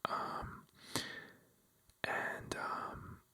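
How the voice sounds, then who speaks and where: A vlogger telling a rambling, casual story in a breathy ASMR whisper, full of fillers like "like" and "you know.". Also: very slow, bright, forceful tone. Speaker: vlogger addressing viewers.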